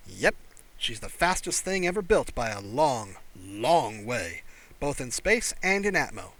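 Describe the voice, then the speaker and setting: Man acting a breezy female astronaut, exuberant, proud, bright tone, swooping pitch, audiobook character. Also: measured pace, exaggerated voice. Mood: proud, amused. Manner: excitable, breezy.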